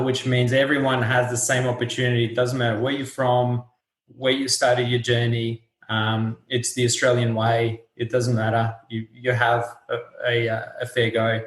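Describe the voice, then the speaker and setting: Thirties man, native Portuguese speaker, easygoing guest, medium pitch, roomy sound. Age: 30s. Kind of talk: casual interview chat. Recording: roomy echo.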